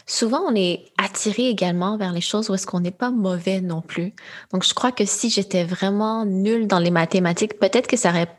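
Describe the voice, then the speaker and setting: Soft-spoken woman, slightly husky. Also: mid-range voice. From Quebec, Canada. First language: Khmer. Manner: thoughtful, measured, with frequent fillers. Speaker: guest, AI entrepreneur. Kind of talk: interview conversation.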